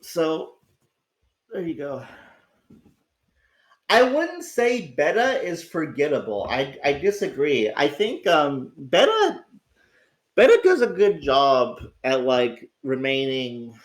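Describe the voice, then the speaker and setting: Sick, raspy man rambling on stream. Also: fairly high pitch, takes it slowly, pauses often. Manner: rambling, swearing, excitable, many restarts. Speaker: livestream host. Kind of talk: casual livestream chat. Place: at home.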